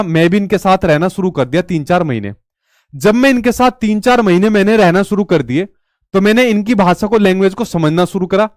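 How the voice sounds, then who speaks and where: A man's voice: high-pitched, no room sound, clean studio sound. A male teacher giving an exam-prep lecture in a chatty way, asking rhetorical questions and telling stories.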